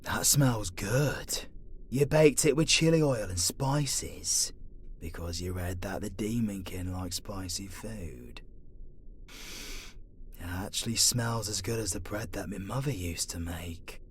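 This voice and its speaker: An actor playing a captive demon, defiant and gruff but tender, with a British accent and a hushed, breathy, colloquial delivery in an ASMR roleplay. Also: low-pitched, slow, a little hiss or hum. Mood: dry, sardonic.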